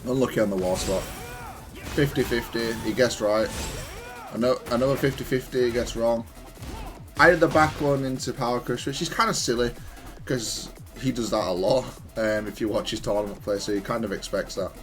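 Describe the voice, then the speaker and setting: A man's voice: mid-range voice, normal speed, soft background music. A man giving off-the-cuff commentary on his own fighting game replays, explaining things in a hesitant, stop-start way. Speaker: gamer analysing own matches.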